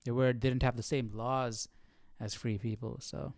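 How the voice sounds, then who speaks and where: A man with an average-pitched voice hosts a casual study stream. He thinks aloud, musing and questioning as he goes, often puzzled. The sound is dry, with no room echo. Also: steady tone, unhurried, creaky, darkish tone. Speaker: host of a live Bible study.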